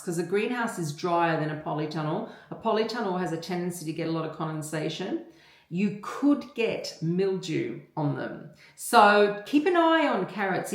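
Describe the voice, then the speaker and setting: A woman's voice: medium pitch, reverberant. A woman with an Australian accent, talking casually to her viewers about her vegetable garden, rambling and chatty with many ums. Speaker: gardening vlogger. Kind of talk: casual talk to camera. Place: home kitchen garden.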